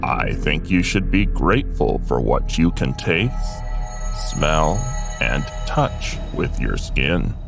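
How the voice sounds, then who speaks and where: A man narrating a scripted fantasy tale in the first person as an otherworldly being, explaining it deliberately and directly to the listener. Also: extremely low pitch, lively intonation, gravelly. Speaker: storyteller. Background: music.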